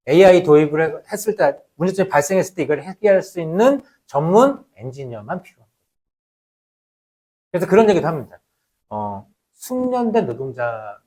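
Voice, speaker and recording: A man delivers a lecture in a slightly high voice with highly animated intonation. He explains conversationally and emphatically, throwing out rhetorical questions and letting phrase endings sink away. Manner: conversational, poses rhetorical questions then answers them. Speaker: lecturer, labour researcher.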